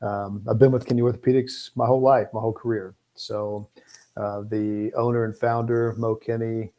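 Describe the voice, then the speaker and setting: A man with a mellow, relaxed voice and a flat, even pitch. He chats informally as an interview guest, halting now and then to repeat a word or pause. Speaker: guest, prosthetist. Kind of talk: casual interview chat.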